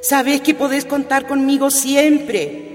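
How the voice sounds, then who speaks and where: A woman's voice: sits high, steady tone, slow pace. A woman acting a caring middle-aged mother in a radio soap opera, tender and coaxing. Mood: coaxing, supportive. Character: caring, supportive mother of a grown daughter. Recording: long reverb, music underneath.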